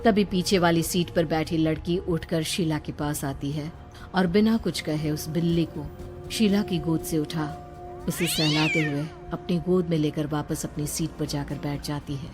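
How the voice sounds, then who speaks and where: A woman's voice: deep voice, steady tone, a little slow, a little mellow. A woman reading a scripted horror story as its narrator. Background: background music.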